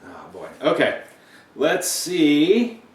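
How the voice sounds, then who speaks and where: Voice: medium pitch, huge pitch swings, very slow, recorded from a distance. A storyteller reads a picture book expressively, with playful, dramatic emphasis. Chatty asides break up the reading. The voice is set back from the mic in an echoey room. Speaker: read-aloud storyteller.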